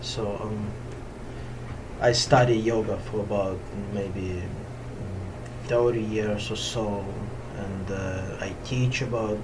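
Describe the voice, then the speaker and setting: A middle-aged man with a Russian accent talks casually to camera as a yoga teacher, meditative and halting as he searches for words. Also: on the low side, steady tone. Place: small indoor room at home ashram. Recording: distant mic, clearly noisy room sound.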